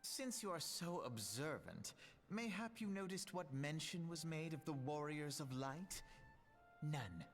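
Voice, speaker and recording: A man's voice: fairly high pitch, expressive, a little slow, soft background music. An actor playing a poised, self-assured young nobleman in a fantasy game, speaking in a formal, lofty, rhetorical way. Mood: smug, measured. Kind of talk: scripted fantasy game dialogue.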